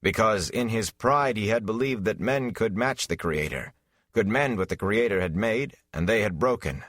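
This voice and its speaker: A man narrating a fantasy audiobook in a solemn, measured, dramatic style. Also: lowish voice, a bit bright, no room sound, studio quality.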